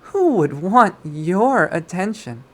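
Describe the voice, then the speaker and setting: A man's voice: sits high, sing-song, slow pace, fairly bright tone, pitched above the performer's own voice, exaggerated voice. A man voicing a menacing young college woman in a visual novel, swinging between chirpy, icy and taunting. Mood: scornful. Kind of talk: visual novel character acting.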